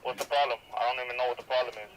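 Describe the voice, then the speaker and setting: A man's voice: mid-range voice, steady tone, rapid-fire, background noise. A man giving casual instructions over a walkie-talkie, posing as store staff. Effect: walkie-talkie radio.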